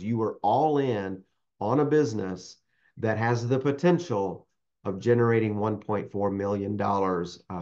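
A man's voice: fairly low pitch, highs cut off. A man presenting a franchise webinar over a video call, enthusiastic and fond of repeating his praise. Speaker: webinar host, franchise consultant. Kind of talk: upbeat sales presentation.

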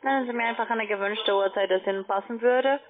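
A woman's voice: on the high side, fast talker, faint music behind. A woman, a German speaker, talking formally as a financial adviser on a sales call over the telephone. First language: not German. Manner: formal, non-native phrasing. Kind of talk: phone sales conversation. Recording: tinny narrowband phone line.

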